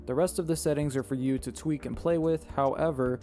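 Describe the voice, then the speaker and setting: Slightly hoarse man reading game settings. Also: mid-range voice, fairly quick, soft background music. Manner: steady instructional, reading settings one by one. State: slightly hoarse, losing his voice. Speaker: game tutorial creator. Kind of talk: step-by-step tutorial voice-over.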